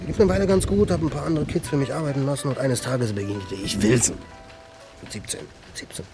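A man's voice: medium pitch, quick pace, over music, natural voice. An actor playing a jittery, boastful young junkie thief in a crime radio drama, stammering and compulsively repeating his phrases. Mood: subdued, restless.

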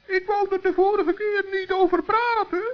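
A man's voice: extremely high pitch, fairly even intonation, moderate pace. A man voicing a small, kind-hearted and trusting male forest gnome in a children's radio play, chirpy and earnest. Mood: wistful, cheerful. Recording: dull lo-fi old radio recording.

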